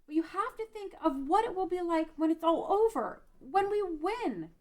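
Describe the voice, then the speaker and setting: Woman as an earnest, very high-voiced ranch girl. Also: very animated, normal speed. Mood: encouraging. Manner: emotive, earnest. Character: earnest, tender-hearted young ranch girl. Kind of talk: amateur table read of a game-based drama.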